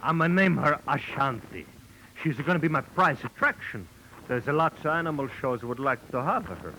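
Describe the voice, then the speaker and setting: An actor playing an excitable, doting Italian animal show owner with a theatrical manner and broken English, in 1940s radio style. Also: male voice, on the high side, moderate pace. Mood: fond, anxious. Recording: noisy old 1940s radio recording.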